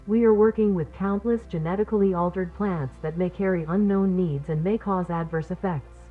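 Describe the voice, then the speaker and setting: A formal, polite training-video narrator, played by a woman, reads measured instructions in a slightly low female voice. The sound is muffled and lo-fi, like an old orientation tape, with music playing behind her. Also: natural voice. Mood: composed, instructional. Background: background music.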